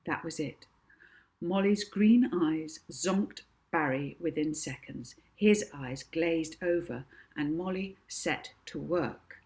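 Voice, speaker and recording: A woman's voice: deep voice, takes it slowly, darkish tone. A woman narrating a children's audiobook as the author, reading from a script. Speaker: author reading own book.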